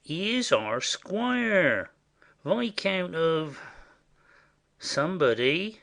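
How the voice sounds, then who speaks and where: A man playing a simple, indiscreet rustic messenger in a classic stage comedy, chatty and confiding in a secretive, halting way. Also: on the high side, big pitch swings, vocal fry, a bit bright, heavily acted. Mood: chatty, pleased.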